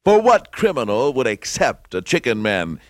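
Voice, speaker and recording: An actor playing a grandiose mock-heroic announcer, narrating a radio serial with pompous drama. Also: male voice, mid-range voice, big pitch swings, normal speed, no echo, clean studio sound, close to an everyday voice.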